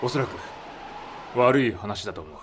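A man's voice: fairly low pitch, animated, moderate pace, slight background noise, natural voice. A man playing a serious, concerned expedition companion in an audio drama. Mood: grave. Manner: urgent, serious.

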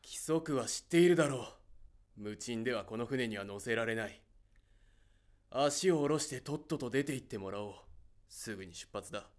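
A man's voice: mid-range voice, lively intonation, moderate pace, natural voice. A man playing a stern, rule-bound spaceship captain in an audio drama, curt and commanding. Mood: stern, official.

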